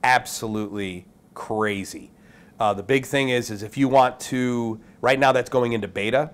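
A man's voice: mid-range voice, fairly even intonation, moderate pace. A man in his forties giving an off-the-cuff, opinionated recap to camera as a video host, blunt and emphatic, often checking in with the listener. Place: small indoor room with curtains.

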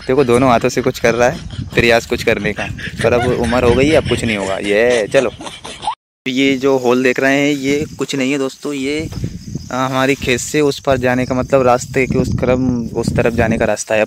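A man, vlogging casually on a phone outdoors. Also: medium pitch. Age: 20s. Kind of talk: casual chat to camera. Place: outdoors in an open farm field. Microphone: phone at arm's length. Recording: noisy handheld phone outdoor recording.

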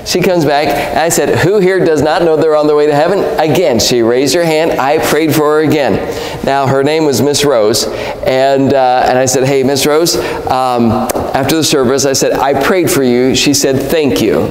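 A man's voice: medium pitch, fairly even intonation, moderate pace, slight background noise. A man in his forties preaching an evangelistic sermon at a pulpit, telling a story and stressing key words emphatically. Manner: emphatic, rising on key words, gestures broadly. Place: church sanctuary, at a pulpit. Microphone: pulpit mic. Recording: echoey hall reverb.

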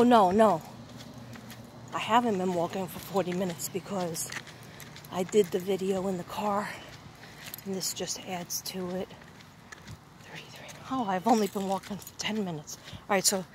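A woman's voice: medium pitch, a little hiss or hum. A woman vlogging from her phone as she walks a neighborhood street outdoors, rambling and often restarting her sentences. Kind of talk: walking vlog, casual chat. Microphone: handheld phone.

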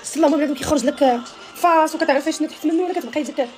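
A woman's voice: sits high, very fast, faint music behind. A woman in her thirties with a Moroccan Arabic accent, chatting animatedly to her followers on a phone live stream in a small room. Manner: animated, emphatic, gestures a lot while talking. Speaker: social media personality. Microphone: phone at arm's length.

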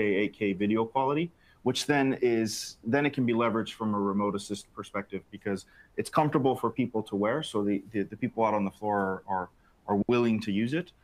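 A man in his thirties on a panel in a factory studio, explaining with frequent restarts and repeated words, on a lapel mic. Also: lowish voice. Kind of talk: panel discussion. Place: bright open factory studio set.

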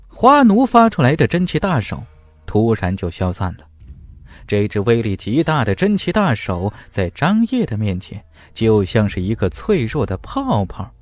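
A man's voice: medium pitch, no room sound. A man narrating a fantasy audiobook in a dramatic scripted storytelling style. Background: faint music.

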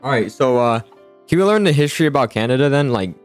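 A man's voice: mid-range voice, animated, fast, no room sound, faint music behind. A man chatting casually in an improvised game roleplay, rambling and slangy, calling people dude and bro. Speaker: video host playing a student in a game roleplay.

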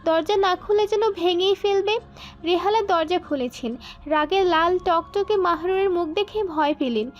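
A woman's voice: very high-pitched, measured pace. A woman reading scripted dramatic narration for an audio story. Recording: muffled band-limited sound, music bed.

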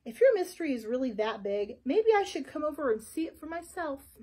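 An earnest male plant detective from a storybook, voiced by a woman reading aloud. He speaks in a plain, matter-of-fact way. His voice sits high, with a soft, mellow tone. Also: big pitch swings, brisk.

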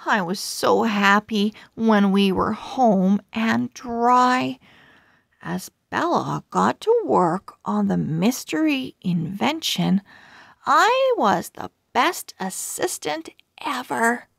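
Slow, expressive woman reading a storybook. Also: on the high side, gravelly, no echo, clean studio sound. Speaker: children's book read-aloud host. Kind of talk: slow scripted storybook read-aloud for young readers.

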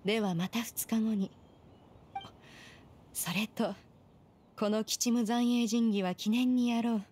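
A woman's voice: a little high, fairly even intonation, slow, darkish tone, slight background noise. A woman playing a proud, aloof immortal master in an anime game, speaking in imperious, formal, archaic language. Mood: composed, lofty. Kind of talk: Japanese anime game voice acting.

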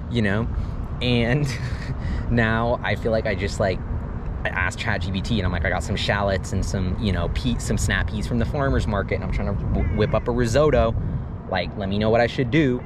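A young American man talking in a casual, free-flowing vlog style, slangy, laid-back and enthusiastic, with drawn-out emphasis. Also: on the low side. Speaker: vlog and podcast host. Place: outdoors on a paddleboard on a city lake. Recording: loud outdoor wind, water and boat noise.

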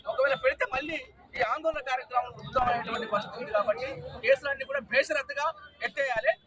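A man's voice: extremely high pitch, fast talker. A man leading a protest, making an emphatic, demanding statement outdoors. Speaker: protest leader. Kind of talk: public statement. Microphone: handheld mic. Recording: distant voice amid crowd.

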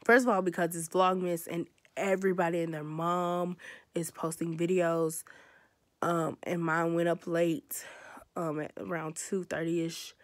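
Young woman, American accent, stuffy nose, slightly hoarse, lowish voice, tired rambling vlog chat, close phone mic. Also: flat delivery, unhurried. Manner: chatty, rambling, frustrated and tired. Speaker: beauty vlogger. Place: bedroom, indoors. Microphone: phone held close.

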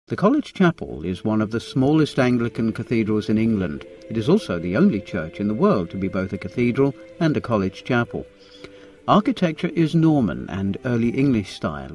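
Lively male audio tour narrator. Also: lowish voice. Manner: measured, informative delivery.

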